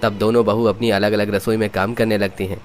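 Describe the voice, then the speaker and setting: Low-pitched man narrating a story. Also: flat delivery, fairly quick, faint music behind.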